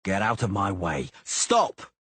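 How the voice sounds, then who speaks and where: A man voicing a boastful, hot-headed young swordsman who jeers and shouts challenges. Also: mid-range voice, very animated, normal speed, husky, dry recording, pitched above the performer's own voice. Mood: shouting. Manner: loud, jeering, challenging.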